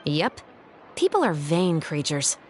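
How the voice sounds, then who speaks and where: Low-voiced, wry patrol officer. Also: female voice, big pitch swings, takes it slowly, slight background noise, exaggerated voice. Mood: explanatory, wry. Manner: composed, matter-of-fact, dryly wry. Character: confident, wry young female special patrol officer. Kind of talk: video game voice acting. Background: game music.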